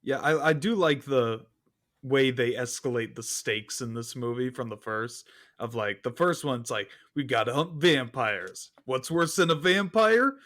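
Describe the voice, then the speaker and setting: A man's voice: medium pitch, a little slow, a bit bright. A man chatting casually and giving his opinions as a guest on a film podcast.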